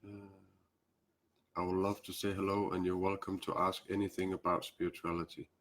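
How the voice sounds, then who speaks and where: A man's voice: low-pitched, level tone, a bit bright. A man with a Danish accent speaking English as a second language, hosting a casual spiritual live stream with many hesitant fillers. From Denmark. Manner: hesitant, fills pauses with "uh" and "um".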